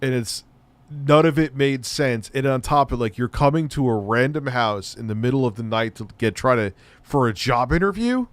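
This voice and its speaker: A man's voice: medium pitch, animated, normal speed, no room sound. A man hosting a livestream, giving crude, mocking commentary over a video and laughing often. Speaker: livestream host reacting to videos.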